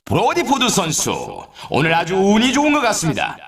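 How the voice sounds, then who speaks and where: A man's voice: high voice, wildly swooping pitch, brisk, a bit bright, full character voice. A man playing an upbeat, showy sports announcer in a video game, with polished, scripted commentary delivery. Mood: excited. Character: male snowboard race announcer, upbeat and showy. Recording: echoey, distant-sounding game audio.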